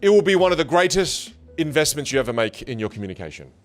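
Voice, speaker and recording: A man whose first language is Teochew, teaching communication skills in an online English lesson with an animated, jokey delivery. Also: on the high side, quick pace, soft background music.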